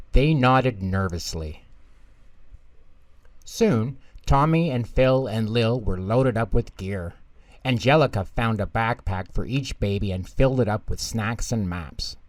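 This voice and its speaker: Animated, lowish-voiced man reading a storybook. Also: dry recording. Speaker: bedtime story reader. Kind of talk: scripted children's storybook narration.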